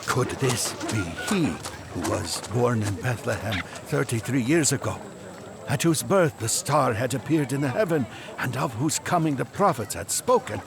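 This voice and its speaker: An actor playing an aged, devout Magian seeker, gentle but resolute, speaking in solemn, halting oratory in an audio drama. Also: male voice, mid-range voice, expressive, vocal fry, a crowd in the background. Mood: awed wonder.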